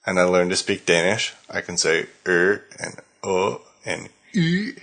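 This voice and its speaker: A man's voice: low voice, big pitch swings, a little slow, gravelly, a bit bright. An American man from California hosting a podcast in casual conversation, talking with many hesitations and restarts. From California, United States. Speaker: podcast host.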